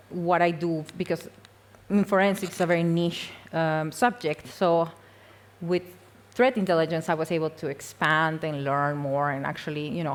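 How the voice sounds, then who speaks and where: A young woman from Peru, giving a casual, self-deprecating conference talk full of fillers and small laughs. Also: fairly low pitch. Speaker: conference speaker. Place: conference hall.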